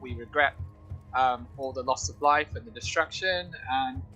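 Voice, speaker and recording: A man speaks at a middling pitch with music faintly behind him. He is a guest in a casual discussion, choosing his words carefully and hesitating between phrases. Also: bright, forceful tone, dull audio. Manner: hesitant, measured, careful wording. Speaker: commentary guest.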